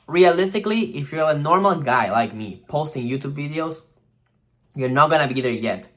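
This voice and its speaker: A young man giving casual, animated advice on starting a vlog channel, swearing freely and acting out the algorithm in silly voices. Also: a little high, moderate pace. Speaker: vlogger.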